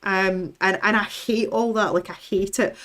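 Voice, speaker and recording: A Scottish woman chatting casually as a livestream host, opinionated and given to lively storytelling. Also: mid-range voice, a little slow. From west coast of Scotland. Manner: chatty, opinionated, animated storytelling with acted-out quotes. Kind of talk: casual chat with live viewers.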